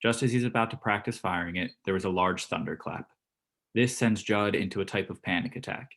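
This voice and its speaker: Male narrator for stage directions, deep voice, scripted delivery. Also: fairly quick, creaky, fairly bright tone.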